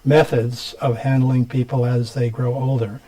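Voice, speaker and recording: Mellow-voiced man hosting a TV interview. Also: mid-range voice, little pitch movement, unhurried, low-quality recording. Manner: rambling questions, frequent mm-hmms. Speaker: TV show host. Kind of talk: studio interview. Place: TV studio.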